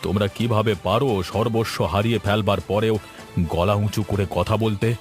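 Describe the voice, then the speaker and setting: A man's voice: fairly low pitch, steady tone, a little fast, lightly acted. A man playing a stern village landowner in a fairy-tale cartoon, speaking with authority and reproach as he passes judgment. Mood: reproachful. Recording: music behind the voice. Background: background music.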